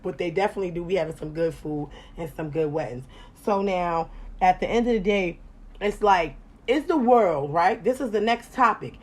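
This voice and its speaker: Animated profane woman podcast host. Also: moderate pace. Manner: animated, profane, swings loud and high when excited. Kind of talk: casual chat.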